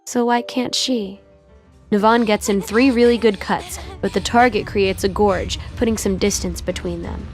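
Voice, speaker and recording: A woman's voice: medium pitch, steady tone, moderate pace, a little mellow, soft background music. A woman reading scripted, dramatic story narration for an audio drama.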